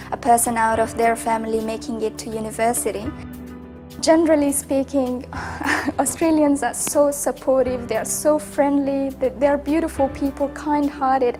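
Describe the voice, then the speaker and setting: Young Afghan-accented woman, highish voice over music. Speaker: university student telling their own story. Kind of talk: personal testimonial.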